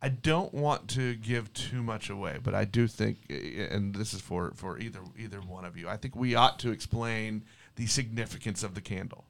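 A man with a somewhat low voice hosts a relaxed conversational interview. He feels his way into questions haltingly, restarting phrases as he goes. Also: creaky. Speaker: podcast host. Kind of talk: casual interview chat.

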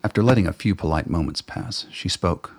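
Casting: gravelly, very deep male narrator, steady and even. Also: brisk, a little hiss or hum. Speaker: writer and narrator. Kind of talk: scripted radio play narration.

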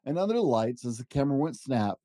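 Warm, lively storytelling dad. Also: male voice, medium pitch, quick pace, no room sound, clean studio sound. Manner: animated, gentle storytelling. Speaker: podcast host and dad reading to children. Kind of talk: reading a picture book aloud.

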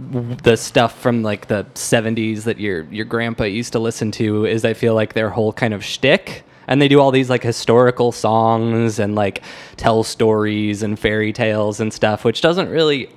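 A man hosting a podcast, chatting casually and informally about music in slangy language. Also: mid-range voice. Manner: informal slangy talk, sometimes sings or hums snatches to illustrate. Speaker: podcast host.

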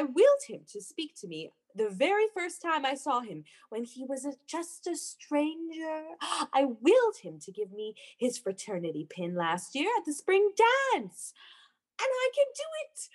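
A woman playing a lovesick, obsessive jilted young woman in a melodramatic radio play, halting and pleading. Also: high-pitched, exaggerated voice.

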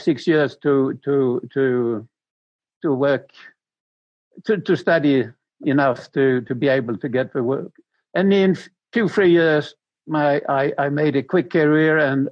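Halting older Swedish man, dull dry recording. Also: medium pitch, no echo. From Sweden. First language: Swedish and Danish. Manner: halting, repeats words as he searches for them. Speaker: interview guest. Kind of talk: recounting his life story in conversation.

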